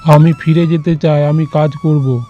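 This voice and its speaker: A man's voice: on the high side, steady tone, unhurried, natural voice. Man voicing a lazy young villager, muffled sound. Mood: alarmed, pleading. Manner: dismissive, fatalistic. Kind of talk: cartoon moral-story voice acting. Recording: dull lo-fi sound, music behind.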